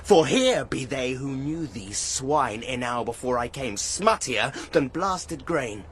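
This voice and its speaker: An actor playing a proud, embittered knight in an audio drama, declaiming archaic verse with deliberate scorn. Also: male voice, mid-range voice, very animated, a bit bright, slight background noise. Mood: bitter scorn.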